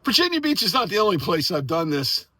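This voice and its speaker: American man, bright and high, dry recording, eager ham radio host telling stories casually. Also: sing-song, quick pace, no echo. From United States. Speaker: amateur radio operator and video host. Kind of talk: casual storytelling and on-air radio chat.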